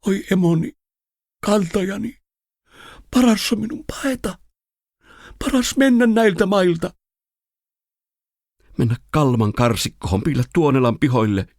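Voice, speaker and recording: A man's voice: sits high, animated, pauses often, a little mellow, dry recording, clean studio sound, pitched above the performer's own voice. A man reciting as a frightened, anguished young son who pleads and laments in verse. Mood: panicked dread.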